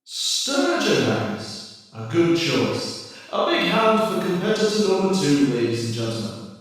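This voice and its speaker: A man's voice: a little high, wildly swooping pitch. Man as a bumbling compere in an echoing hall. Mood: smarmy, bumbling. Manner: halting, hammy, stumbling. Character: smarmy, bumbling male beauty contest compere. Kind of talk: radio play acting, stage announcing. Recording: echoey hall from far off, music, crowd.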